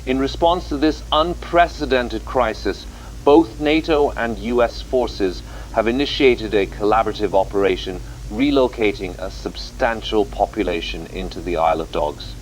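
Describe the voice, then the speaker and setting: A man's voice: on the low side, moderate pace, poor audio. A man presenting a scripted TV news report as anchor, in a grave, formal manner.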